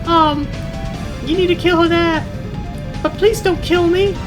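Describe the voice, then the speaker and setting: A man voicing a frightened little girl who pleads and whimpers in an exaggerated, babyish falsetto. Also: fairly even intonation, unhurried, music in the background. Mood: scared, pleading. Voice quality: shrill falsetto.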